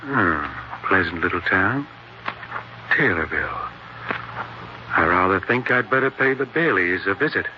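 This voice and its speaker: An actor playing an evasive, oily small-town mortician with an American accent, measured and unctuous, in a 1940s radio drama. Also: male voice, low voice, lively intonation. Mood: scheming. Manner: measured, unctuous, hesitant when pressed. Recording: dull, hissy 1940s radio recording.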